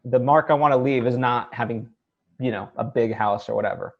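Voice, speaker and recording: A man hosting a casual interview, speaking in an earnest, hesitant way with halting phrasing. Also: medium pitch, dull audio. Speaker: interview host. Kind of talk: casual one-on-one interview conversation.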